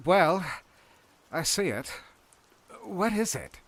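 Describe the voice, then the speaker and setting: A man reading an audiobook plays a concerned soldier talking down a relative. He is kindly and humoring, with some hesitation, and his pitch sits high and moves freely. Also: big pitch swings, slow, a bit bright, full character voice. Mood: puzzled, humoring. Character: concerned male cousin, a soldier, soothing and kindly. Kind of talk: audiobook character acting.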